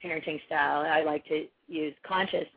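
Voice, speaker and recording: A woman with a husky, loud voice gives steady parenting advice, restarting her sentences as she goes. The sound is narrow and tinny, like a phone call. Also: low voice, normal speed. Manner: earnest, advising, many restarts. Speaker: parenting coach guest. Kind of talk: casual podcast conversation.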